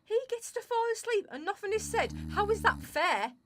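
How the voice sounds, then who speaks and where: A woman's voice: extremely high pitch, huge pitch swings, moderate pace, a crowd in the background, heavily acted. A woman acting a sulky, sarcastic winter spirit in a comedic radio drama, complaining and easily offended. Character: Winter, sarcastic sulky female season, quick to take offence.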